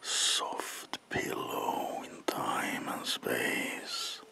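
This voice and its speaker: A man playing a brooding, tormented vampire haunted by voices, in a hushed, halting, theatrical ASMR roleplay.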